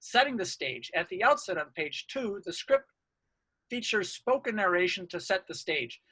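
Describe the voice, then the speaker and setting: A man's voice: high-pitched, lively intonation, normal speed, sharp tone. A man presenting academic research, reading a scripted lecture in a formal, orderly way.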